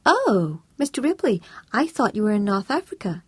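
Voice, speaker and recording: A woman's voice: medium pitch, big pitch swings, normal speed, husky, no echo, pitched above the performer's own voice. A woman narrating an audiobook voices an earnest, chirpy American housewife with a sing-song American accent. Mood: bright.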